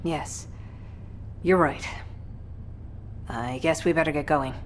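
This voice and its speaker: An actress playing a gentle, guilt-ridden mother and soldier in a video game, earnest and apologetic. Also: low voice, slow, slight background noise. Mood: worried, tender. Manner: soft-hearted, earnest, apologetic. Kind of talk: dramatic video game voice acting.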